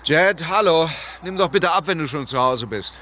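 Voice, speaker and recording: A man's voice: on the high side, animated, moderate pace, pushed and bright. An actor playing a fond, wry male traveller leaving a phone message in a radio drama. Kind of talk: naturalistic radio drama acting. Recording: outdoor night noise, chirping crickets.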